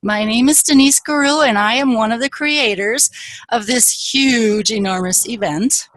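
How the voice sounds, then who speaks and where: A woman from northern Wisconsin hosts an online author panel over a video call. She speaks slowly and haltingly, filling pauses with ums. Her voice sits a little high and the sound is dry and close. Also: fairly bright tone, no echo, rough recording. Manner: halting, many fillers. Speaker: panel host.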